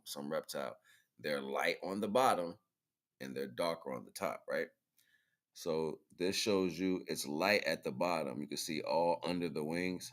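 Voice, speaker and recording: A man's voice: very deep voice, fairly bright tone. A man hosting a livestream, talking casually and informally through pictures, rambling and often checking in with the listener. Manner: informal, rambling, repeatedly checking in with "right?" and "you know what I'm saying". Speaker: livestream host.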